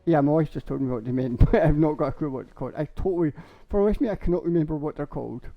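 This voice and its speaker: A young man with a sore, hoarse throat, rambling casually and laughing as he shows off a house in a game. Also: fairly high pitch, very fast. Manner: rambling, chatty, laughs a lot, says basically often. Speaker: gaming streamer. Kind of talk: casual Minecraft house tour. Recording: dull lo-fi stream audio.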